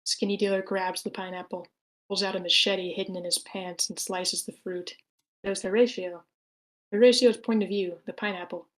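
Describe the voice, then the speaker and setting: Woman narrating screenplay action, medium pitch. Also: fairly even intonation, normal speed, a bit bright. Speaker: stage directions narrator.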